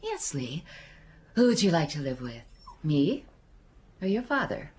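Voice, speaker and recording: A woman's voice: low-pitched, sing-song, measured pace, a little mellow. An actress playing a volatile, brittle, hard-drinking American mother in a radio drama. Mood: brittle coaxing.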